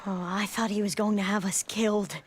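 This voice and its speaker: A woman's voice: mid-range voice, fairly even intonation, a little fast, breathy edge, lightly acted. A woman acting an earnest, eager young scholar in a video game cutscene. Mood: relieved.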